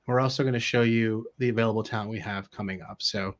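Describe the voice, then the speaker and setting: A man's voice: on the low side, fairly even intonation, quick pace. A middle-aged man talks casually as co-host of a business live stream. He speaks informally and keeps tossing in little check-in questions. He is on a video call. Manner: informal, peppered with rhetorical check-ins. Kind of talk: casual conversational advice.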